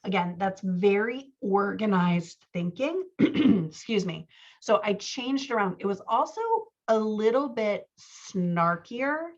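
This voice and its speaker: A woman's voice: medium pitch, lively intonation, takes it slowly, dull audio. A woman working as a writing coach, going through written excerpts in a conversational, self-deprecating way. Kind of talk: workshop critique, reading excerpts aloud and commenting.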